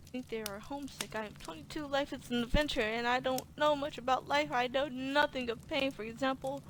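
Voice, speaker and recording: A woman with a high voice comments casually on a game she is playing. She talks back to it in a colloquial way and reads bits aloud, with noise behind her. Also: steady tone, a little fast, fairly bright tone, rough recording. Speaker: gaming streamer playing through a horror game.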